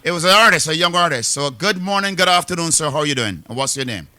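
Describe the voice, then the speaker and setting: A man from Barbados with a Barbadian accent, hosting a live radio interview in a lively, rambling, chatty way. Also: a little high, fast, dry recording. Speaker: radio host.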